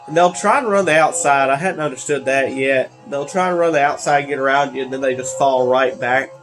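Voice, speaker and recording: A man's voice: medium pitch, brisk, fairly bright tone, faint music behind. A teenage man, congested from allergies, rambling with many fillers while commenting on a racing game. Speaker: gaming video creator.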